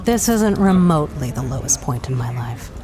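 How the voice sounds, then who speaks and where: A woman's voice: low voice, big pitch swings, normal speed, warm tone. A woman playing a tough-talking, wry 1930s mercenary adventuress in an audio drama. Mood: firm, sardonic. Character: hard-bitten, sardonic 1930s adventuress mercenary for hire. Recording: music behind the voice.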